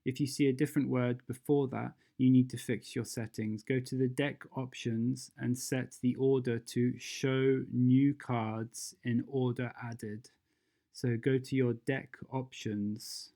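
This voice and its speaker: A presenter narrating a casual step-by-step software tutorial, informal and instructional, thinking aloud along the way. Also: mid-range voice.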